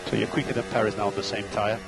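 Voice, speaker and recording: A man working as a race engineer, giving clipped, steady calls of gaps, lap times and instructions over team radio. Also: on the low side, racing, gravelly, over loud music.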